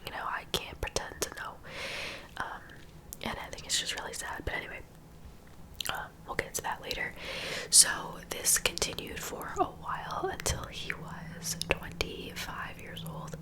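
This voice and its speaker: Softly whispering woman, ASMR storyteller. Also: a little slow, poor audio. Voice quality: soft, breathy unvoiced whisper. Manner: gentle, informal, confiding asides. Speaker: host of a true crime ASMR series.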